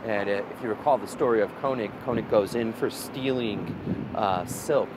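Low-voiced man guiding a history tour. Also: moderate pace. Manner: storytelling, animated with many fillers and restarts. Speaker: walking tour guide. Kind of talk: casual guided history talk. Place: outdoors on a city street beside a prison wall. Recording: outdoor street with traffic noise.

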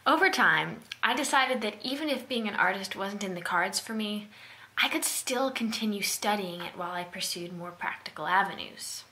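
A young woman delivers a prepared speech in an earnest storytelling way, her voice bright and forward at a middle pitch. She speaks close to a webcam in a small indoor room. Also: animated, moderate pace. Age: young adult. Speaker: keynote speaker. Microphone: webcam at close range.

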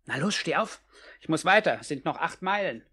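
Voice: medium pitch, animated, brisk, bright, forceful tone, breathy edge. An actor playing a cocky, friendly young male vagabond in a 1950s German radio play, talking in a casual, breezy way. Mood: breezy, generous.

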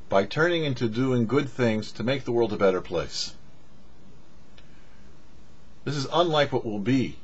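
A middle-aged man giving a scripted religious teaching to camera as a rabbi, deliberate and preacherly with emphatic pauses. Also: medium pitch, husky. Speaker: rabbi, show host. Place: small indoor studio with cloth backdrop. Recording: dull, muffled lo-fi audio.

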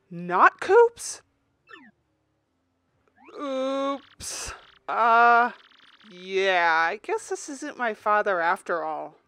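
A woman's voice: mid-range voice, full character voice. A woman voicing a timid, earnest young male turtle in a fan dub of a video game, reading a letter and yelping in panic. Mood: startled. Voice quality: earnest, excitable, gets loud when startled. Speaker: fan voice actor.

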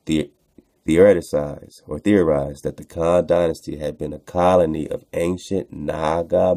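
Very deep, mellow male radio host. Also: no room sound. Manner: rhetorical, preacher-like, repeats phrases for emphasis. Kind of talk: reading aloud from texts with informal spoken commentary.

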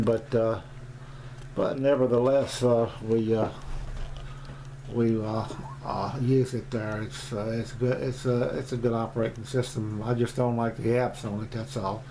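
A middle-aged or older man giving a casual, unscripted equipment review as a hobbyist, rambling and hesitating often. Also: mid-range voice. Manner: halting, rambling delivery with long hesitations. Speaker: amateur radio hobbyist presenting his equipment. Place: small indoor home radio room. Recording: noisy home camera recording.

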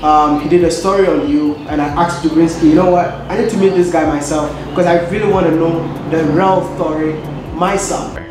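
A man's voice: on the high side, fairly even intonation, fairly quick. A young man with a Ghanaian accent, interviewing casually as the host of a video channel. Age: young adult. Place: indoor office lounge with glass walls. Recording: very echoey room, clear background noise.

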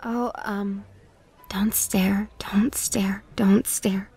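A woman voicing a quick-tempered teenage girl who swings between flustered and sarcastic, in a comic dub. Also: mid-range voice, fairly even intonation, slightly rough, heavily acted. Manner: flustered, then sharp and sarcastic. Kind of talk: fan comic dub acting.

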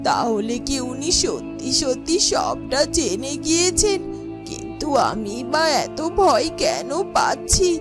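High-pitched, fretful young palace maid. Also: female voice, very animated, slow, loud music behind the voice, heavily acted. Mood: anxious, fretful. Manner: fretful, questioning, earnest. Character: anxious young palace maid, timid but dutiful. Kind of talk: melodramatic cartoon fairy-tale acting.

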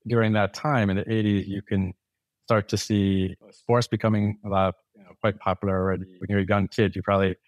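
Low-voiced man telling stories, dry sound. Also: level tone, a little fast, no echo, dull audio. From Thailand. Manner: rambling, many restarts and fillers. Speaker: guest. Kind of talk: casual interview storytelling.

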